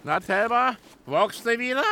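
An actor playing a sly, mischievous older village neighbour in a radio play, teasing with feigned innocence. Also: male voice, very high-pitched, lively intonation, unhurried, pushed and bright, faint music behind, full character voice. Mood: teasing, evasive.

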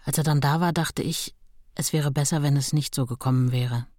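An actress playing the loyal, modest wife of a famous writer in a radio play, speaking in a measured, reflective way. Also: female voice, deep voice, fairly even intonation, dark tone, no echo, studio quality, close to an everyday voice.

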